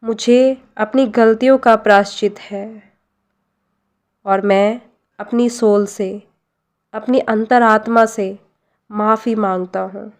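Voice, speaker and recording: An Indian woman leading a guided meditation and prayer, speaking gently and deliberately with pauses and repeated phrases. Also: medium pitch, steady tone. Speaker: guided meditation leader, life coach.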